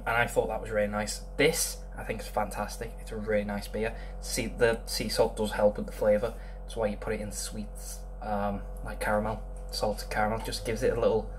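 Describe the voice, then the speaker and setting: A man's voice: lowish voice, slight background noise. Chatty young man with ums. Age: young adult. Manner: chatty, rambling, lots of ums. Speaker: beer reviewer. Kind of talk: casual beer review vlog. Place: small room indoors.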